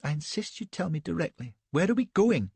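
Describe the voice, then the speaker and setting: A man puts on a naive, genteel young wife whose voice rides high and swings up and down. Her lines come in a fussy, breathless string of questions with a husky grain. The sound is dry and close. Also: big pitch swings, a little fast, mellow, dry recording, exaggerated voice. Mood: bewildered.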